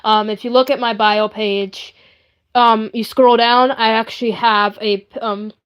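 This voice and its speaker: A woman's voice: a little high, steady tone, a little slow, smooth. A young woman chatting casually on a live stream in a rambling, hesitant way, often repeating herself.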